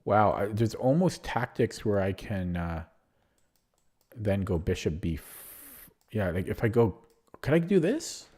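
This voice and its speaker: A man streams online chess, talking through each move out loud in a low, unhurried voice. He hesitates often, fills pauses with ums, and pokes fun at himself as he calculates. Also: sing-song, breathy edge. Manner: thinking aloud, self-deprecating, hesitant with many fillers. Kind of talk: live game commentary.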